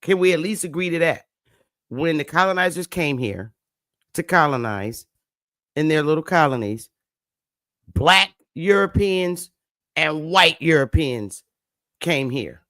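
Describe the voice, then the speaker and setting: A woman's voice: deep voice, lively intonation, measured pace, long pauses, fairly bright tone, dry recording, studio quality. A woman with a Northern US accent hosting a livestream, lecturing her viewers emphatically, repeating her points and calling on the chat to answer back. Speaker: livestream host.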